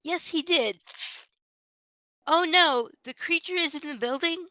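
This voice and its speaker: A woman's voice: sits high, a little slow, bright, forceful tone, no echo. A woman playing a keyed-up, anxious police inspector in a comic audio play. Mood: anxious, urgent.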